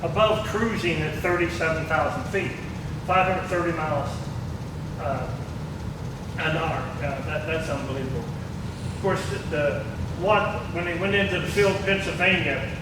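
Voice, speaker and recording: An older man giving an informal presentation in a halting, rambling, folksy way, heard in a noisy, echoing hall. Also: on the high side, fairly even intonation. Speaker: guest speaker, former air traffic controller. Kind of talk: informal presentation to a live audience. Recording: noisy, very echoey hall, distant microphone.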